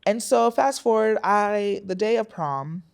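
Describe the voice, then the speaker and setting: A woman in her twenties, a guest in a podcast studio, telling a personal story in an animated way and acting out what others said. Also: mid-range voice, measured pace, gravelly, clear, resonant tone. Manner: animated, acts out quotes, frequent "like" fillers.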